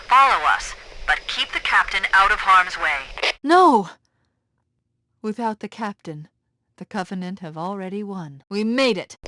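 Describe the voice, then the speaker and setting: An actress playing a brisk, confident, analytical female military AI in a video game, with precise, clipped phrasing. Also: mid-range voice, sharp tone, no echo, poor audio. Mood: brisk, urgent, then urgent. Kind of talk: video game voice acting, tactical briefing.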